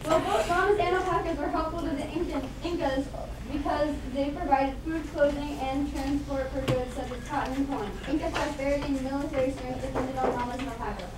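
A woman's voice: sits high, steady tone, a little fast, natural voice. A woman in an amateur puppet show playing a nerdy, pedantic man who lectures with fun facts. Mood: lecturing. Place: indoor room, performers behind a puppet stage, far camera. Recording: echoey noisy room from far off.

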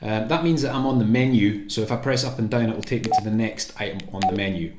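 A man's voice: on the low side, fast talker, noisy background. Casual man demonstrating in an echoey room. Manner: informal, thinking aloud. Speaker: presenter of a radio programming tutorial. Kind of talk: casual hands-on demonstration, explaining step by step. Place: indoor room at a table.

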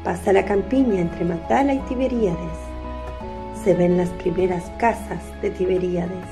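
A woman's voice: fairly low pitch, moderate pace. A woman narrating a religious radio drama from a script. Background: faint music.

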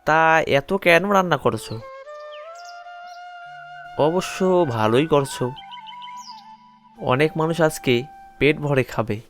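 A man voicing an obliging younger male neighbour in an animated folk tale. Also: a little high, slow pace, pauses often, soft background music. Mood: curious, pleased.

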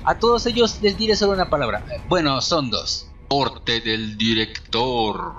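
A man's voice: mid-range voice, measured pace, a bit bright, dull audio, faint music behind. A Mexican man giving an informal scripted film review in a sarcastic, slangy, opinionated way. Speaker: video reviewer.

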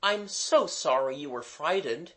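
A narrator voicing a gentlemanly, light-hearted boy with a honeyed, reassuring manner in a storybook. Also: mid-range voice, sing-song, unhurried. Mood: honeyed, innocent. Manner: honeyed, explaining.

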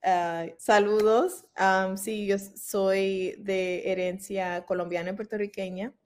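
A woman's voice: mid-range voice, level tone, slow. A woman in her forties from Philadelphia, answering interview questions over a video call in a reflective, storytelling way. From Philadelphia, USA. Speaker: muralist guest. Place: room at home. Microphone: laptop webcam.

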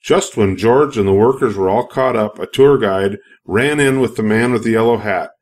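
Older man reading a storybook, lowish voice. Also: moderate pace. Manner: expressive storytelling for young children. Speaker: children's storybook reader. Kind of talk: scripted narration, picture book read-aloud.

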